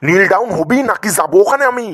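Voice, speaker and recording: A man's voice: high-pitched, animated, quick pace, fairly bright tone. A man playing an exasperated, scolding schoolteacher in a comedy cartoon, lamenting dramatically. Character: exasperated, scolding male village school English teacher.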